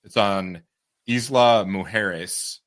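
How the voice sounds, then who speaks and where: A man's voice: on the low side, takes it slowly, a bit bright. Easygoing man co-hosting a chat show. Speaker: podcast co-host. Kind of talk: casual news chat.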